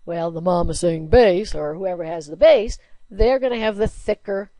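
A woman's voice: moderate pace, dark tone, no room sound. A woman teacher giving a recorded slide lecture, chatty and explaining with everyday examples and questions. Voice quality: low alto singing voice, by her own account.

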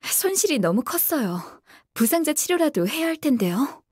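A woman playing a courteous young female military operations announcer in a game, formal, measured and polite. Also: sits high, very animated, dry recording, studio quality. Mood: analytical. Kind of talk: scripted game voice acting, tactical radio briefing.

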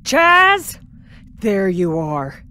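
A woman playing a blunt, impatient security officer in an audio drama, gruff and belligerent. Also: mid-range voice, huge pitch swings, drawn-out words, pushed and bright, heavily acted. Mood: angry. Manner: gruff, plain-spoken, belligerent.

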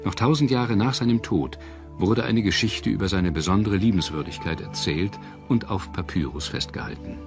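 A male narrator with a very low voice delivers a scripted documentary. His phrasing is measured and articulate, like a storyteller's. Music plays clearly behind him. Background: background music.

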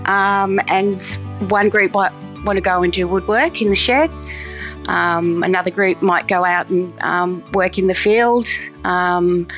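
A woman's voice: medium pitch, a bit bright. A woman speaking casually in an interview, chatty, with many ums and restarts. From Australia. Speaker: farm program coordinator.

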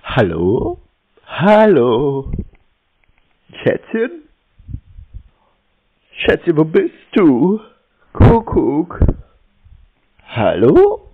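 A man playing naive and terse in a prank phone conversation, with a deadpan manner. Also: on the high side, extremely slow, pauses often. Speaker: scambaiter taking scam calls. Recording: phone-like band, clearly noisy.

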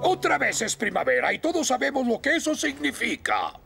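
A man's voice: very high-pitched, huge pitch swings, fairly quick, gravelly, over loud music, exaggerated voice. A man voicing an older, commanding, fussy fire station chief in a Spanish cartoon dub, speaking with emphatic authority. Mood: instructive, pompous.

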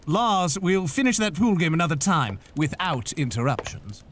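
An actor playing an irritable, skeptical husband in an audio horror drama, speaking with sarcastic, condescending emphasis. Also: male voice, on the high side, big pitch swings, normal speed, a bit bright. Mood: patronizing.

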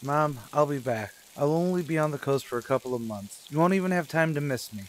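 An actor playing an anxious American man in his thirties in an old-time radio thriller, with measured, dramatic delivery. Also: fairly high pitch, lively intonation, slight background noise, close to an everyday voice. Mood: affectionate, reassuring. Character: anxious 36-year-old American man telling his eerie road story. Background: music.

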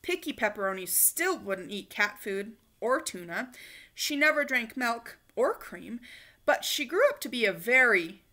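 A woman reading a children's picture book aloud in an expressive, emphatic way, acting it out for young listeners. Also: fairly high pitch, a little slow. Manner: animated, emphatic, acts out dialogue. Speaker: storybook reader. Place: small living room, indoors on a couch.

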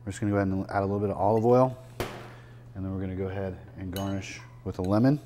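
Casting: chef host in his 60s, lowish voice, relaxed step-by-step demo patter, lapel mic in a restaurant. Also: very fast, noisy background. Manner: relaxed, chatty, explains as he works. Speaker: chef and cooking show host. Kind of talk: casual cooking demonstration. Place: restaurant dining room, indoors.

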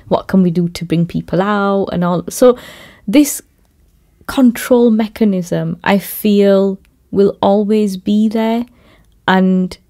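A woman who works in theatre and youth work, speaking in a measured, thoughtful way in a podcast conversation. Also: on the low side, dark tone. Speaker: theatre maker and youth worker.

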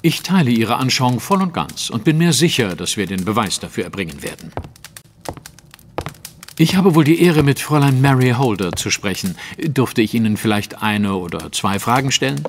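An actor playing a courteous, self-assured detective with a formal, probing way of speaking, in a German audio drama. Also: male voice, mid-range voice, big pitch swings, moderate pace, long reverb tail, a little hiss or hum.

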